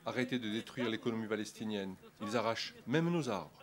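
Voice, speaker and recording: A man's voice: fairly low pitch, fairly quick. A man giving an impassioned, indignant testimony outdoors in a busy street. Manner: indignant, pleading. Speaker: interviewee. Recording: outdoor street recording with crowd. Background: crowd noise.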